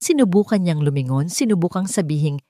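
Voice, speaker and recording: Woman, Filipino accent, slightly low pitch, dramatic scripted storytelling, audiobook narrator, dry sound. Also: expressive, brisk, mellow, no room sound.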